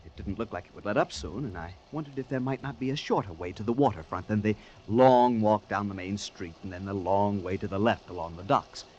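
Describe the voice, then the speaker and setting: A man's voice: on the low side, natural voice. An actor with an American accent playing a hapless, polite tourist who narrates his misadventure in a 1940s radio drama. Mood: wry narration. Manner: hesitant, stammering in dialogue, dramatic when narrating. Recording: noisy vintage 1940s radio broadcast.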